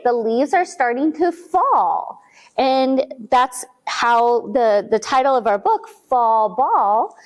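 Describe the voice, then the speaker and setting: A woman reading a picture book aloud to young children at a library storytime, asking them questions as she goes. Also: a little high. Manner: slow, animated, speaking to young children with questions.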